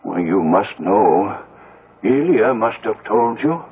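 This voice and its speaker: A man's voice: on the low side, sing-song, slightly rough, phone-quality sound, full character voice. An actor playing a hesitant, fearful, superstitious older father who stammers, in a 1970s radio drama. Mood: uneasy. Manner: halting, stammering. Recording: band-limited old radio broadcast.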